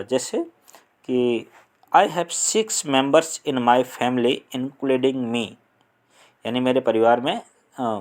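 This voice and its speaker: A young man from India with a Hindi accent, explaining an English lesson slowly and deliberately, pausing on fillers. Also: medium pitch. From Uttar Pradesh, India. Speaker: English teacher.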